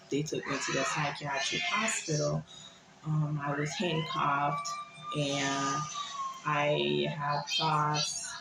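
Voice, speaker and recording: A woman from Haiti giving a personal, confessional monologue to viewers in a hesitant, halting way, often repeating herself. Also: sits low, gravelly, echoey room, rough recording. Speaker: vlogger sharing personal experience.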